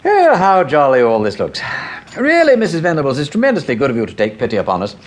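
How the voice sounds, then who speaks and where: An actor playing a genial, breezy aristocratic gentleman with an upper-class English accent in a radio drama, urbane, courteous and effusive. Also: on the high side, wildly swooping pitch, fast. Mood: genial, interested.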